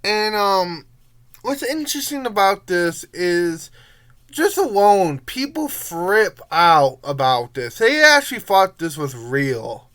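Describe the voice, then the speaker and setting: A young adult giving a casual review monologue in a rambling, informal way, halting and letting thoughts trail off. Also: mid-range voice, big pitch swings, a bit bright. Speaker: amateur reviewer.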